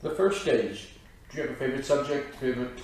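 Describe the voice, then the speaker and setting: A man's voice: medium pitch, fairly quick. A man interviewing a guest, asking brief, direct questions. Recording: very echoey room with faint noise.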